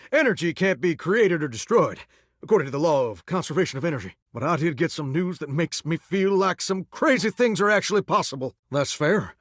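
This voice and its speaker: A man's voice: sits high, a bit bright, no echo, clean studio sound, full character voice. An actor voicing an eager male talking showerhead who dreams of pop stardom, with a folksy drawl and a theatrical, gushing manner. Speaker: dating game character. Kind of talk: game voice acting.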